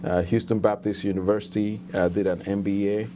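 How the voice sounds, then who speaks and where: Middle aged nigerian guy, kinda low voice, lots of uh and um, faint hum behind. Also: level tone, dark tone. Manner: measured, with frequent hesitations. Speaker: guest candidate. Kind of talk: interview answers.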